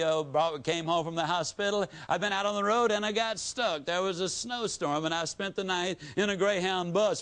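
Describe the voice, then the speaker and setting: A middle-aged man giving a motivational sales speech, telling folksy stories with rapid-fire repetition and dramatic pauses. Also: sits high, fairly bright tone. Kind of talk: motivational speech to a live audience.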